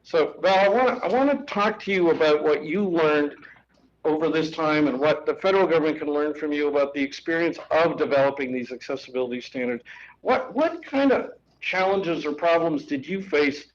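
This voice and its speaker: Halting panel moderator, muffled stream. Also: male voice, a little high. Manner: halting, many fillers and restarts. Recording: dull muffled live-stream audio with faint noise.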